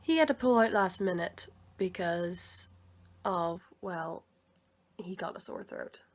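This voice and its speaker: A woman's voice: lowish voice, slow pace. A woman talking casually to her viewers in a vlog, chatty and rambling, correcting herself as she goes, and a bit sick. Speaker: video creator and comic dub reader.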